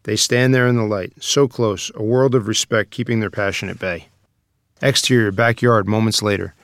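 A man's voice: on the low side, fairly even intonation, moderate pace, fairly bright tone, dry recording, clean studio sound. A man reading stage directions as scripted narration for a screenplay.

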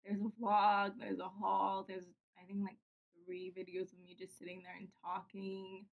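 A woman's voice: mid-range voice, completely flat delivery, normal speed, creaky, narrow, tinny audio. A 21-year-old woman chatting casually as a podcast guest, giggling often and filling her talk with "like". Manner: giggly, chatty, lots of "like" fillers. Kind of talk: casual chat.

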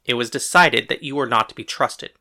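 A woman's voice: rumbling low, a little fast, sharp tone, pitched below the performer's own voice, full character voice. A woman voicing a defiant, exasperated mayor and husband with an indignant, blustering manner in an audiobook. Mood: evasive, defensive. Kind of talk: audiobook character dialogue.

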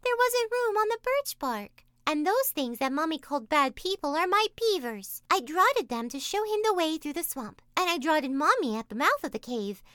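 A bright little cave girl. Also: very animated, moderate pace, hardly any pauses, no echo, clean studio sound, full character voice. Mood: earnest. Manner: high, breathless, childlike. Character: small cheeky cave girl, bold and chatty.

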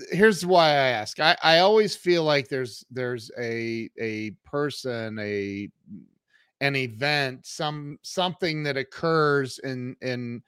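A man's voice: mid-range voice, a bit bright, clear, resonant tone, no room sound, studio quality. A man hosting a podcast interview, talking in an upbeat, enthusiastic way and often restarting his sentences. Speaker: podcast host. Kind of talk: casual interview chat.

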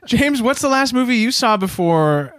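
A man's voice: very high voice, expressive, measured pace, a bit bright, no echo. A man co-hosting a podcast, chatting in a wry, teasing way. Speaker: podcast co-host. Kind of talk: casual podcast chat.

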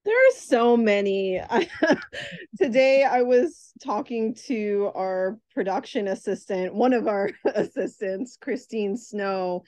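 A woman's voice: mid-range voice, darkish tone, no echo. A woman playwright answering interview questions in a thoughtful, reflective way. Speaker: playwright, guest. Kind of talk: casual interview chat.

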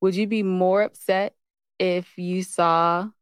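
A woman's voice: lowish voice, fairly even intonation, takes it slowly, clear, resonant tone, no room sound, clean studio sound. A woman co-hosting an unscripted relationship chat, blunt, playful and slangy in the way she talks. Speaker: co-host of a couples' relationship show.

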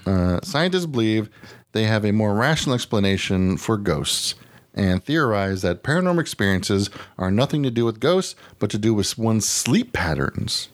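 Low-voiced man bantering on a podcast. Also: sing-song, moderate pace. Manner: rambling, profane, easily sidetracked. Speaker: podcast host. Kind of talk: casual comedic news banter.

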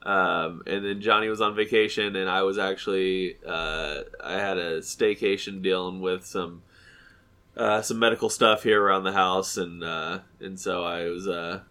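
A man's voice: deep voice, fairly even intonation, moderate pace, pushed and bright, clear, resonant tone. A man in his thirties with a stuffy, nasal voice, co-hosting a casual chat podcast in a rambling, self-correcting way. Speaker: podcast co-host.

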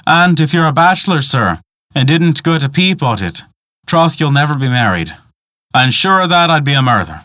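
A man's voice: fairly high pitch, sing-song, moderate pace, sharp tone, dry recording, clean studio sound. Man voicing a superstitious old peasant woman. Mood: folksy, coaxing. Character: old Irish peasant woman guide, superstitious, reverent storyteller. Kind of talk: storybook dialogue in dialect.

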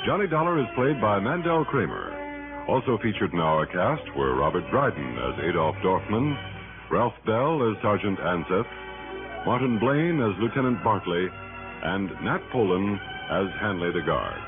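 A man's voice: fairly low pitch, sing-song, normal speed. A man announcing in a scripted 1960s radio style, as for a drama's closing credits. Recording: tinny old radio broadcast with music.

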